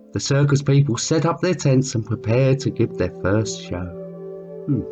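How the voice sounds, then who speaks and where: A muffled recording with music playing behind. A man with a fairly high voice reads a children's story aloud. He leans theatrically on key words and draws them out. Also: warm tone. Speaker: amateur storyteller reading a children's book aloud. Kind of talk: expressive read-aloud storytelling.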